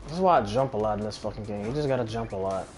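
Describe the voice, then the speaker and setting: A man's voice: lowish voice, rapid-fire, a little mellow, soft background music. A man casually commentating on a video game as he plays, grumbling and swearing. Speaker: gamer commentating on own play.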